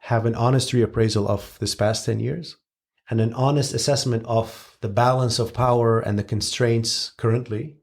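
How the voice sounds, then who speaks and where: A man from Syria giving long, measured, analytical answers as a guest expert, with frank asides. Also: medium pitch, fairly even intonation, gravelly, darkish tone.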